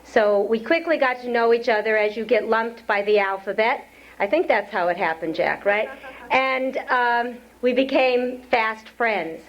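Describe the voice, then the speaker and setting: A woman's voice: fairly high pitch, unhurried. A woman acting as event host, introducing a guest speaker with personal asides before reading his credentials. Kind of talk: formal introduction. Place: community center hall. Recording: lo-fi 1992 lecture tape.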